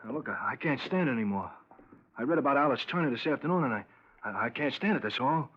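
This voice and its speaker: An actor playing a nervous, guilt-ridden informant in a 1950s radio drama, urgent and jittery, repeating himself. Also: male voice, medium pitch, lively intonation, fast talker, slight background noise, lightly acted. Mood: guilty, desperate. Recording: dull vintage radio recording.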